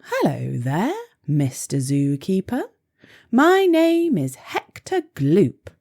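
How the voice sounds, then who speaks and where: A woman voicing an eager, boastful gentleman collector in a children's storybook, with theatrical, gushing delivery. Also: lowish voice, wildly swooping pitch, takes it slowly, voice pushed down, exaggerated voice. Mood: showy, welcoming. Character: eager, showy gentleman collector of exotic poo.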